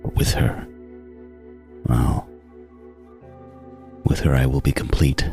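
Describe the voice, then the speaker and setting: A man acts a meticulous serial killer narrating his own horror tale. The voice is extremely low, measured and brooding, lingering fondly over every word, with music underneath. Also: darkish tone, heavily acted. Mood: brooding, intent. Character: meticulous adult male serial killer obsessed with teeth, coldly fond. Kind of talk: first-person horror audio drama narration. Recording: music behind the voice.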